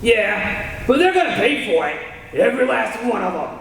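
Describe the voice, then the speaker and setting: A man's voice: very high-pitched, sing-song, normal speed, vocal fry, a bit bright, slight background noise, heavily acted. An actor playing a condemned male killer in a radio drama, talking tough gangster slang, tense and scheming. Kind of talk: 1930s radio drama acting. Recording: echoey old radio recording from far off.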